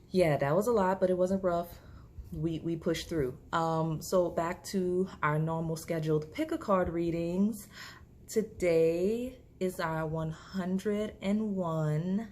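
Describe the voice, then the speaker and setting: A woman, slightly hoarse, chatting casually through a tarot reading to camera, with lots of fillers and restarts. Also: lowish voice, takes it slowly, mellow. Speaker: tarot reader.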